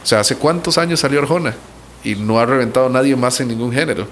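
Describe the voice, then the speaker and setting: A man's voice: medium pitch, fast talker, slight background noise. A man from Costa Rica with a Costa Rican accent, a musician giving casual, conversational answers in an interview.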